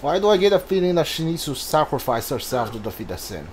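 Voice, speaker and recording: A man's voice: fairly high pitch, very animated, fast, slight background noise. A man giving casual playthrough commentary as a gaming streamer, hesitantly thinking aloud.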